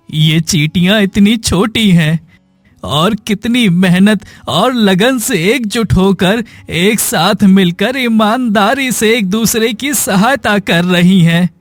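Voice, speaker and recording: A man playing a poor, sick village man in a cartoon moral story, sorrowful, gentle and pleading. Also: high-pitched, no echo. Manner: plaintive, suffering.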